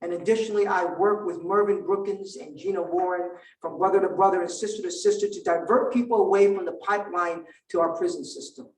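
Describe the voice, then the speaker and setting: High-voiced man making an emphatic campaign speech. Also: fairly even intonation. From Vietnam. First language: Vietnamese. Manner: emphatic, rhetorical lists with measured pauses. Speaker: political candidate at a forum. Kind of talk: campaign speech and forum answer. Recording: roomy hall, distant mic.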